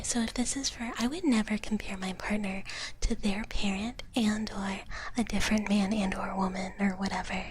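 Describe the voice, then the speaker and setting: A woman speaking in a soft, breathy whisper close to the microphone, chatting casually and playfully as a livestream host and often repeating her phrases. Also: medium pitch, slight background noise. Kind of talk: live ASMR whispering, casual chat with viewers. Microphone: close ASMR microphone.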